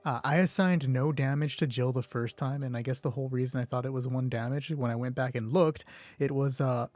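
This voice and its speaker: A man's voice: mid-range voice, fairly even intonation, brisk, a little mellow, no room sound. A man hosting a solo roleplay show in casual, rambling, self-deprecating commentary, swearing offhandedly. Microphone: close mic with gain set high.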